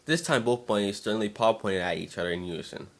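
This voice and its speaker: A man narrating a scripted fan-fiction story as a dramatic reading, expressive but now and then stumbling over words. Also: on the low side, fast, a little hiss or hum.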